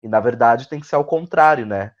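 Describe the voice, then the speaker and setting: A man's voice: medium pitch, brisk. A man with a Brazilian accent gives reflective interview answers, searching for words, repeating phrases and often ending on tag questions. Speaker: guest playwright and actor. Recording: dull, lo-fi audio.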